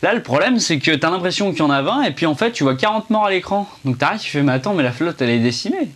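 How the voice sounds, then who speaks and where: A man's voice: on the high side, lively intonation, quick pace, a bit bright. A young man giving a casual, rambling film review, chatty and full of fillers and restarts, speaking indoors to a camera under a ring light.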